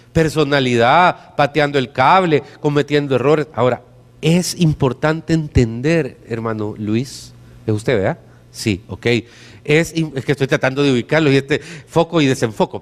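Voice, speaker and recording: In a reverberant church hall, a Salvadoran man preaches in a fairly high-pitched voice. He talks to his congregation colloquially, like a storyteller, then stresses his points with emphatic rhetorical questions. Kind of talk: conversational sermon with anecdotes. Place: church hall during a service.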